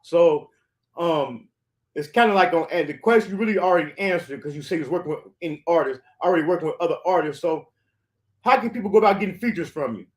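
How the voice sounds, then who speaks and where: Man, high pitch, interview host, relaxed, casual questions. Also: fast.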